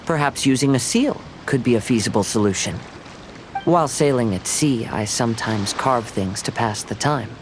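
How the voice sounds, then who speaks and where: A man playing a calm, gentle young samurai in an anime game, polite and measured in speech. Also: mid-range voice, background noise, natural voice. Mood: thoughtful.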